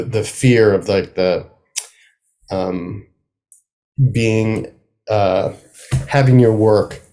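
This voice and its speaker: A middle-aged man with a fairly low voice talks casually as an interview guest. He is halting and searches for the right word, with a self-deprecating sense of humor. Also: warm tone. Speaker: guest, playwright.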